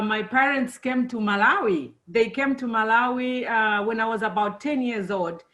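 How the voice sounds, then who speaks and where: A middle-aged woman born and raised in Zambia, giving a friendly, unhurried presentation to students, with many fillers. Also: fairly high pitch, a bit bright. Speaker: engineer giving a talk to students.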